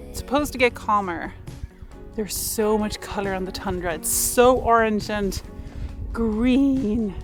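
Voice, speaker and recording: A woman, chatting casually and upbeat while vlogging outdoors by a cabin. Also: mid-range voice. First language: Swedish. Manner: chatty, upbeat, light laughs. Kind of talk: casual vlog narration.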